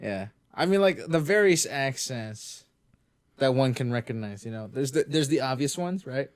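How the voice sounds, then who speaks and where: A man's voice: medium pitch, expressive, normal speed. A young man from Canada, co-hosting a podcast in casual, joking chat. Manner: joking, playful, teasing. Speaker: podcast co-host.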